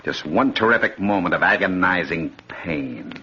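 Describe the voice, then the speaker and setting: An actor playing a cold, vengeful man in a 1950s radio drama, speaking with deliberate menace. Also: low voice, animated, pushed and bright. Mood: gloating menace. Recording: muffled, noisy old radio recording.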